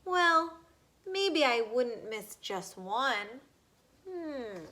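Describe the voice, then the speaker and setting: A vain little storybook fish, proud of himself and quick to pity himself, acted out by a woman. His voice is high and bright, with haughty, theatrical exclamations. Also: big pitch swings, exaggerated voice. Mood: wavering. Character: vain, proud, self-pitying male rainbow fish.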